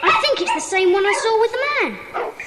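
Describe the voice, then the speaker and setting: Earnest child club member acted by a woman, very high-pitched, eager, for a children's mystery drama. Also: big pitch swings, normal speed, heavy background noise. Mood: excited. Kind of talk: dramatised children's audiobook acting.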